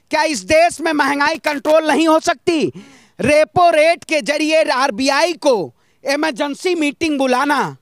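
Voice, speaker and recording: Male interviewee from northern India, notably high voice, dry sound, fiery rhetorical political delivery with punchy repetition. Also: a bit bright, no room sound. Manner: emphatic, rhetorical, with rhyming slogans and repetition. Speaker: interviewee giving political opinions. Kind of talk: impassioned political street speech.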